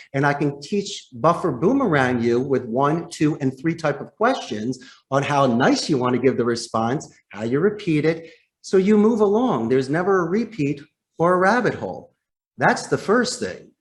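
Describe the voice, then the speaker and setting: A man speaking as a podcast guest and sales trainer, explaining phone technique emphatically and putting rhetorical questions straight to the listener. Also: fairly high pitch. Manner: emphatic, demonstrative, acts out example phone lines.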